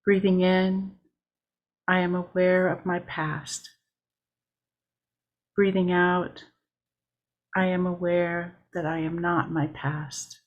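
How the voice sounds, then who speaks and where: A woman leads a guided meditation at a very slow pace. Her voice sits a little low and stays level, and she speaks in short, measured, deliberate phrases with a calm delivery. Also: lo-fi sound. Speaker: worship leader.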